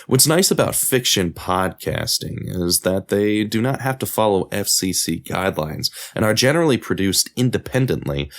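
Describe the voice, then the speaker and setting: Low-pitched man narrating a scripted podcast. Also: creaky. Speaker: podcast host and audio drama creator.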